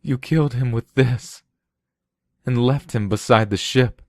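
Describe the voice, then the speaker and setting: A man voicing a shaken, grieving accuser in a story, hushed, halting and intense. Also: mid-range voice, no room sound, clean studio sound, pitched above the performer's own voice, lightly acted. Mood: horrified, accusing.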